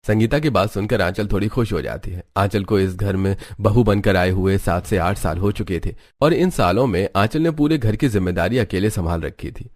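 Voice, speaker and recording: Male narrator, lowish pitch, scripted storytelling delivery. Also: animated, normal speed, hardly any pauses, dry recording, studio quality.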